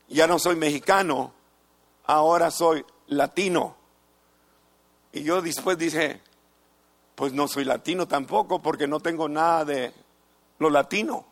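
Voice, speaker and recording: A middle-aged man from the United States preaching a sermon in a slow, deliberate way, repeating key phrases and pausing between them. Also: fairly high pitch, vocal fry.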